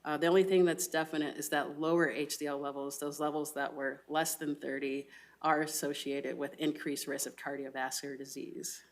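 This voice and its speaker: A young woman resident physician giving a medical lecture and answering audience questions with measured, thoughtful replies full of ums and uhs. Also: deep voice, flat delivery. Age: young adult.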